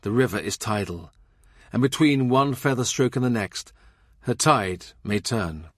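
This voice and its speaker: A man narrating a historical novel as an audiobook, with measured, deliberate literary phrasing. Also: mid-range voice, expressive, a bit bright, no room sound, studio quality.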